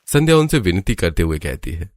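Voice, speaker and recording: A man's voice: deep voice, big pitch swings, brisk, faint music behind. A man narrating a scripted cartoon storybook tale as the narrator.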